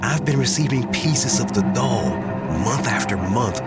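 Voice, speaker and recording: An actor playing a vain but anxious action movie star in an audio drama, theatrical and self-regarding. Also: male voice, medium pitch, lively intonation, a little slow, loud music behind the voice, close to an everyday voice. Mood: haunted, anxious.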